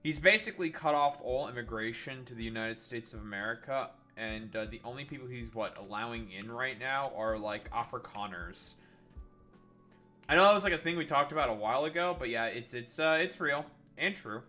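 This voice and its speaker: Male streamer, bright voice, profane rambling gameplay chat, faint music underneath. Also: mid-range voice, lively intonation, a little fast. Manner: profane, rambling, self-interrupting. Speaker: gaming streamer. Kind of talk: casual gameplay commentary.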